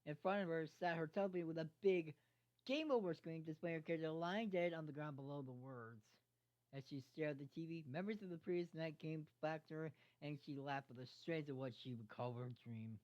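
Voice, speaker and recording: An amateur reader narrating a fan fiction story aloud in a halting way, stumbling over words and repeating phrases. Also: mid-range voice, darkish tone. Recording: dull lo-fi microphone sound.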